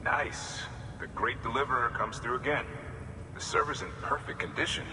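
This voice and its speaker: An actor playing an effusive, grateful depot worker greeting a courier in a game cutscene. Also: male voice, medium pitch, normal speed, close to an everyday voice. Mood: pleased, relieved. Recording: echoey hall with clear background noise.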